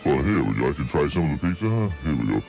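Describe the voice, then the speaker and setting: A greedy monster under the bed growls out his demands. His voice sits extremely low and grinds with gravel, yet it swings widely as he wisecracks and pushes for more food. Faint music plays behind him. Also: big pitch swings, very fast, exaggerated voice. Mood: taunting. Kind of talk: comedy radio bit.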